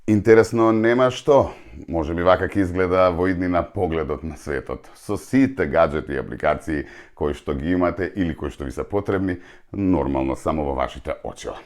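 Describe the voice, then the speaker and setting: Tv host guy, really deep voice, rattles through the outro. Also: darkish tone. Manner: brisk, promotional sign-off. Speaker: TV show host. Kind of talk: show segment wrap-up.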